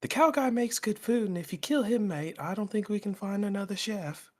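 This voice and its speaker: A man voicing a husky, high-pitched rogue who says "mate". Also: fairly quick, darkish tone. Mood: playful. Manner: threatening, playful sing-song taunts. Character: menacing male rogue who calls everyone mate. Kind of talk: in-character roleplay.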